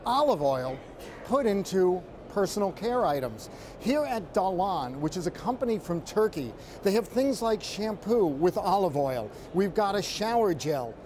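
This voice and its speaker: A man with a fairly high voice gives an upbeat walk-and-talk report from a busy exhibition floor. He sounds conversational and easygoing, and keeps turning to speak straight to the anchor. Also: sing-song, unhurried, a little mellow. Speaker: trade show floor reporter. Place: trade show exhibition floor.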